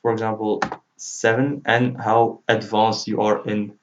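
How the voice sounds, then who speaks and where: A man whose native language is Dutch, giving an informal screen-recorded software tutorial as he walks through each step. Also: on the low side, little pitch movement, measured pace. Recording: dull lo-fi mic, faint noise. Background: computer keyboard typing and clicking.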